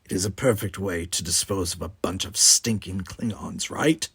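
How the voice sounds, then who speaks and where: A man's voice: deep voice, normal speed, a bit bright, slightly rough, no echo, exaggerated voice. A man voicing a hot-tempered, contemptuous Klingon colonist in an audiobook, accusing and sneering. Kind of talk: audiobook character voice.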